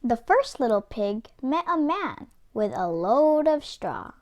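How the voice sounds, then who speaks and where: A woman reading a children's story aloud with expressive, deliberate narration. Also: fairly high pitch. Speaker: read-aloud host, storyteller.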